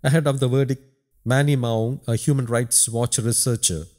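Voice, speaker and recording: A man's voice: mid-range voice, a little mellow. A man narrating a scripted news report as a voice-over.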